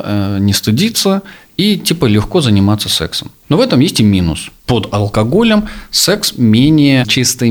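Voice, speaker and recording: Man, 30s, low voice, measured, explanatory, podcast guest expert. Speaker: psychologist and sex therapist. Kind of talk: podcast discussion.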